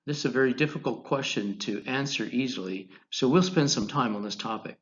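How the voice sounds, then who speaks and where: An American man teaching an online class, giving a deliberate, instructional lecture over slides, phrase by phrase. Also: medium pitch, highs cut off. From United States, Colorado.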